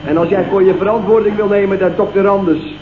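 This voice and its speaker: An actor playing a cocky, sardonic junior colleague in an old radio comedy, full of mocking remarks. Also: male voice, high voice, steady tone, fast talker, phone-quality sound, natural voice. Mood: defiant. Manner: dry mocking asides. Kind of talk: 1970s Dutch radio comedy acting. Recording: thin, echoey, noisy old radio recording.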